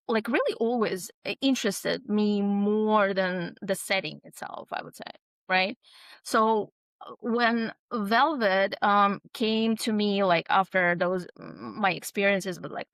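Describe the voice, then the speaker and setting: A woman's voice: medium pitch, a bit bright, no echo. A woman author answering interview questions reflectively, often checking in with short tag questions. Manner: reflective, frequent tag questions like "right?" and "you know?". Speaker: author, podcast guest.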